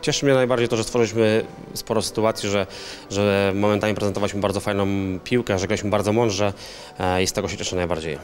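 A man's voice: lowish voice, fairly even intonation, fast talker, hardly any pauses, faint music behind. An assistant football coach in his thirties answering post-match questions in a hotel lounge, often repeating words as he searches for phrasing. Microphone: handheld interview mic.

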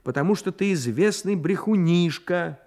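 A man's voice: high voice, lively intonation, normal speed, darkish tone, voice pushed up. Man putting on a bossy, suspicious little girl. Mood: disbelieving. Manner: stern, sceptical, emphatic. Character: bossy, suspicious young girl, queen of her gang.

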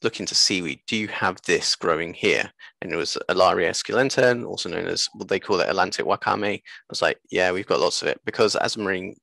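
A man in his thirties talking casually as an interview guest, telling anecdotes in a halting way over a video call on a headset. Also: low voice, creaky, sharp tone, dry recording. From born in Japan, later moved away. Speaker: interview guest, seaweed business co-founder. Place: small room at home, video call.

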